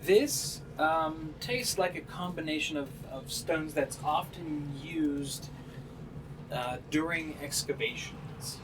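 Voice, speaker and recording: A man running a tabletop fantasy game, improvising narration and piecing his descriptions together haltingly. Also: fairly high pitch, expressive, creaky, noisy background. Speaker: game master.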